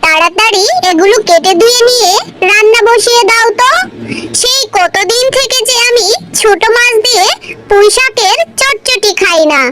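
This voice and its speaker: A performer voicing a stubborn, exasperated little bird husband in an animated cartoon, bickering and indignant. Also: extremely high pitch, normal speed, noisy background. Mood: eager, bossy. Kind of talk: Bengali animated cartoon dialogue.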